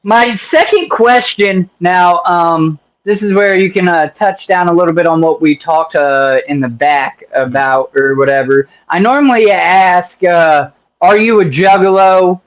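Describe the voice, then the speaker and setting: High-voiced, lively male interview host. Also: a little slow, muffled recording. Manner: loose, joking, rambling with many fillers. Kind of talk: casual interview.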